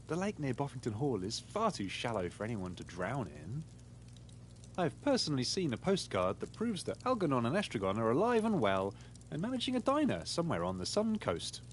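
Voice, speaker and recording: A man voicing an earnest pig detective in a game, reasoning through deductions in a formal, deliberate way. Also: medium pitch, slight background noise, lightly acted. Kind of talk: scripted game dialogue.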